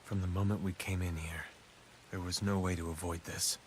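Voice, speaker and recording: A man's voice: deep voice, level tone, normal speed, noisy background, lightly acted. An actor playing a weary, grieving but resolute man in a video game drama. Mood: sorrowful.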